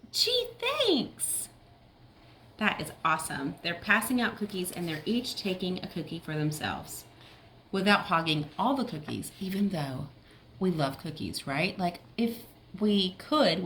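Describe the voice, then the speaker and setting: A woman's voice: mid-range voice, fairly bright tone, a little hiss or hum. A woman teacher reading a picture book aloud to young children, with simple explanations and check-in questions.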